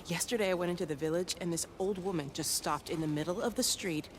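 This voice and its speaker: An actress with an American accent playing a wry, frustrated wife new to a village, in naturalistic, conversational game drama. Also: female voice, on the low side, brisk, natural voice. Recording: noisy ambience behind the dialogue.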